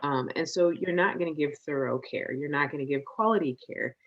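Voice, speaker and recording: A woman's voice: low-pitched, fairly quick. Participant: outspoken woman, mid voice, muffled call audio. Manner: outspoken, animated. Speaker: workshop participant. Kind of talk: online workshop discussion.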